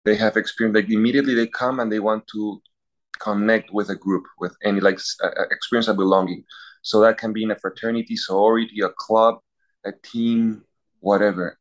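Low-voiced priest, thoughtful. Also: male voice, level tone, a little fast, low-quality recording. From Peru. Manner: thoughtful, listing examples, clears throat. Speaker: university chaplain priest. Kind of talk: casual interview answers.

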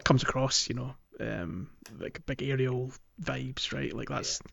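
A man's voice: medium pitch, fairly even intonation, unhurried, gravelly, fairly bright tone. A man hosting a podcast, talking casually about a game and often circling back to correct himself as he goes. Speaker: podcast host. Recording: dull, lo-fi audio.

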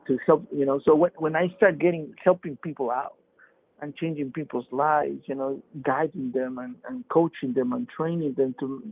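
A man telling his personal story in a halting way, full of fillers and restarts. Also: on the high side, vocal fry, breathy edge. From Peru. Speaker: podcast guest. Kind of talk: casual testimony. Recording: tinny phone-like remote line.

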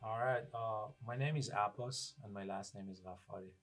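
Iranian-accented man, halting speech. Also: lowish voice, fairly bright tone. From Iran. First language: Persian. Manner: halting, searching for words. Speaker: plumber and guest. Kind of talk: casual interview talk.

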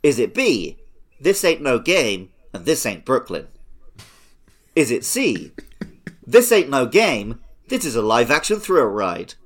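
A man's voice: mid-range voice, sing-song, takes it slowly, pauses often. A man casually hosting a comedy quiz podcast, chatting and reading out questions.

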